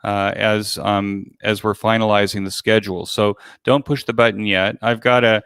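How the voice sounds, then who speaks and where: An older man speaking casually as an interview guest, in a halting way with repeated words, describing his work as an organizer. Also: lowish voice, little pitch movement, fairly bright tone, clear, resonant tone, dry recording. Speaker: guest, virtual expo organizer.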